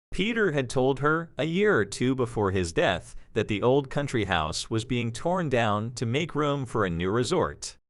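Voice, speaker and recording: A male narrator reads a scripted story with an expressive, varied delivery. His voice sits at a medium pitch. The recording is dry, with no room sound. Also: dry recording.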